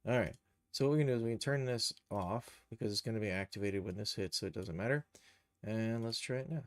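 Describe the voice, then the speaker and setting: A man hosting a casual, unscripted software tutorial, thinking aloud as he explains each step. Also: on the low side, quick pace, no echo, clean studio sound. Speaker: live stream tutorial host, game and cartoon developer.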